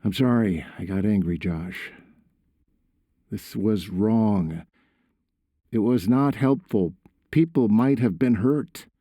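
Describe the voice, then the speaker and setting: A man's voice: on the low side, slow, long pauses, dark tone, no echo, studio quality. A man voicing a worried father in an audiobook, stern but caring, earnest and urgent. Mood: apologetic.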